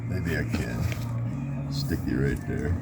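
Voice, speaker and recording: A man with a deep voice chatting casually to viewers as he works on his car, rambling and often apologizing about the camera. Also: slow, vocal fry, loud music behind the voice. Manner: rambling, apologetic, talks to viewers while working. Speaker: vlogger working on his car. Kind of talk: casual DIY repair vlog. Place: garage or driveway, working on an engine. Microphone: phone camera set near him.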